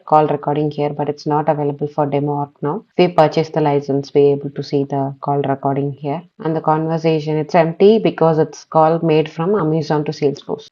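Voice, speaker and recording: Female demo presenter, very low pitched and mellow, explaining software step by step. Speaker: developer giving a demo. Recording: slightly dull, lo-fi audio.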